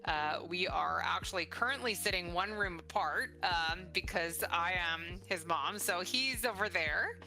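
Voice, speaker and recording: A woman's voice: on the low side, a little slow, hardly any pauses, pushed and bright. A middle-aged woman hosting a casual conversational interview in an enthusiastic, encouraging way. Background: faint music.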